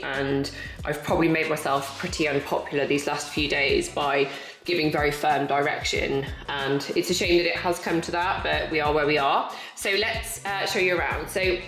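Vlogger, woman, 30s, candid and brisk, bright edgy tone, low register. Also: vocal fry. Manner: candid, matter-of-fact, brisk. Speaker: vlogger renovating her home. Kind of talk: casual talk to camera. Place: large house under renovation, bare rooms indoors. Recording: echoey empty rooms.

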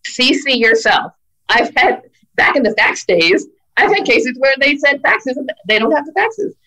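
A woman's voice: sits high, big pitch swings, normal speed, sharp tone, rough recording. A middle-aged woman lawyer on a video call, giving earnest, emphatic advice in casual conversation. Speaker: employment lawyer guest. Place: office with brick wall. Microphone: video call webcam.

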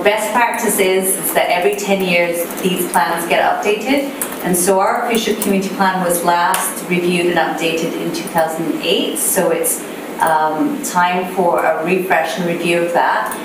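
Soft-voiced woman in an echoey chamber. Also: fairly low pitch. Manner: measured, explanatory, with frequent fillers. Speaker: city planner presenting. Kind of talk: public meeting presentation. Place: council meeting room. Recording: echoey room, distant mic, background noise.

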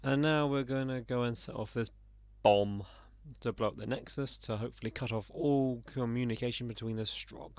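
A young man, slightly croaky, giving sarcastic, sweary commentary while playing a game. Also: mid-range voice, steady tone, moderate pace, few pauses. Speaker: let's play gamer. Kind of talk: casual gameplay commentary.

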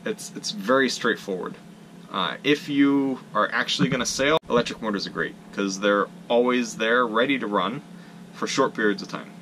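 A man's voice: fairly low pitch, normal speed, bright, forceful tone, a little hiss or hum, low-quality recording. A young man on his boat, casually and practically walking through how his gear works, filmed with a camera at arm's length in a small wooden cabin. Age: young adult. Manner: plain, practical, self-deprecating. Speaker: sailing vlogger.

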